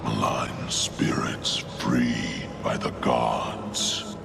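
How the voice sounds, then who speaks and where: A man voicing a grave, otherworldly watcher of the dead in a fantasy video game, speaking in a solemn, ominous, whispery rasp. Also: rumbling low, flat delivery, very slow, over loud music, exaggerated voice.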